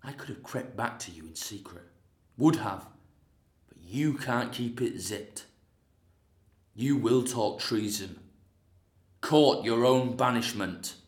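A young man in his twenties playing a scornful, exasperated ancient Greek hero in a loud, lecturing monologue, recorded in a roomy space. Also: medium pitch, takes it slowly, pauses often, lightly acted. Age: 20s. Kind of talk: drama school monologue. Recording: roomy echo.

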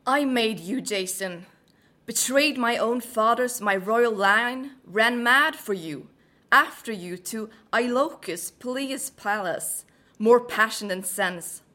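A woman's voice: on the high side, slow pace, pushed and bright. Vengeful betrayed queen, bitter and sarcastic, Norwegian accent, a woman reciting. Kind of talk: dramatic monologue recital.

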